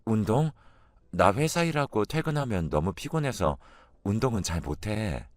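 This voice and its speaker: A man's voice: lowish voice, very animated, normal speed, dry recording, clean studio sound. A man voicing an earnest, naive married working woman, plain and restrained, in dramatised story dialogue. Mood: hesitant.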